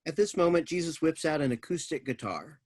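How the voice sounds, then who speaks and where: A man's voice: fairly high pitch, lively intonation, fairly quick, a little mellow, no echo, studio quality. A man reading stage directions as scripted narration.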